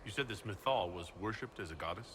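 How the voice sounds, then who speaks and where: An actor playing a resolute, inquisitive adventuring leader in a video game, with a direct, businesslike manner. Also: male voice, lowish voice, animated, fast, noisy background, close to an everyday voice. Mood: direct, questioning. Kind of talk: video game dialogue acting.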